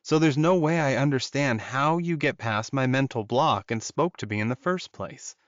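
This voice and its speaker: A commanding male werewolf alpha, played by a man in an audiobook. He is composed and firm, at a middle pitch, with a dry, slightly dull sound. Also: moderate pace, no room sound, lightly acted. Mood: firm, curious.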